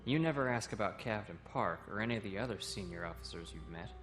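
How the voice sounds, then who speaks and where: A man's voice: fairly low pitch, expressive, quick pace, fairly bright tone. A man playing a young naval cadet and translator in a sci-fi audio comic, plainspoken, dutiful and respectful but guarded. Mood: defensive, proud. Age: young adult. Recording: faint music behind the voice.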